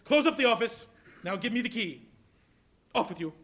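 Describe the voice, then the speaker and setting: Casting: man as cantankerous old miser for stage drama; high, theatrical, widely swinging delivery. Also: very animated, moderate pace, sharp tone, full character voice. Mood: grumpy, scolding. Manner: theatrical, exclamatory. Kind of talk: live stage acting. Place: live on a college hall stage before an audience.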